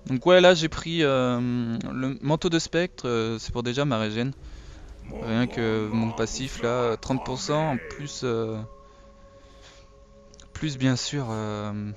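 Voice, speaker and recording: A hoarse French man casually commentating his own game, hesitant, with many pauses and fillers. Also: medium pitch, muffled recording, noisy background. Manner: relaxed, hesitant with many "euh". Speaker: gamer commentating his play. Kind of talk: casual let's play commentary.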